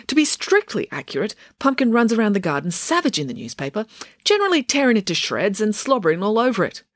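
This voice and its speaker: A woman voices a cheerful, self-assured teenage girl with a prim, brightly lilting way of talking. Her pitch swings widely, and the sound is dry and close, as in a storybook reading. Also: big pitch swings, fast, no echo.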